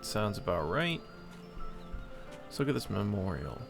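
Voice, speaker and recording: Creaky, low-voiced man thinking aloud. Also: sing-song, unhurried. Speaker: game streamer. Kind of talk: casual let's play commentary. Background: game music.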